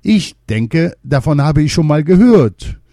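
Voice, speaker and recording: A man voicing a poisoned, forgetful king who speaks high and excitedly. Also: a little slow, no echo, clean studio sound. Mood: muddled.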